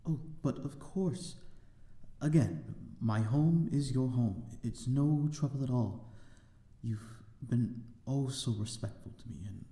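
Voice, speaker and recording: A man's voice: fairly low pitch, mellow, echoey room, close to an everyday voice. A man playing a courteous, lonely vampire lord in an ASMR roleplay, speaking in a genteel, formal and slightly hesitant manner. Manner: genteel, formal, hesitant, old-fashioned wording. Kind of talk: ASMR audio roleplay, amateur drama.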